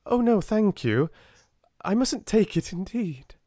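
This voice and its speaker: A man's voice: high voice, big pitch swings, takes it slowly, mellow, no room sound, clean studio sound, voice pushed up, heavily acted. A British man voicing a proud, poor young girl in a storybook, polite, tender and well-bred. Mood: flustered, polite refusal.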